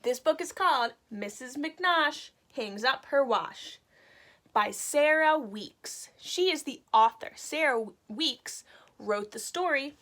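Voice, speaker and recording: Woman doing storytime for little kids, really high lively voice, takes her time, explains stuff simply. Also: slow. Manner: animated, expressive, explains words simply for young listeners. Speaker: teacher reading a picture book aloud to children. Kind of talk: children's read-aloud with simple explanations.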